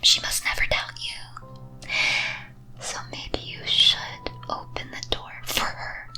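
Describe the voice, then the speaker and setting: A woman playing a teasing, wicked demon mistress in a breathy, theatrical ASMR whisper, full of dramatic rhetorical questions. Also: slow pace, pushed and bright, soft background music. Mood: goading. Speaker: streamer performing as a demon-mistress persona.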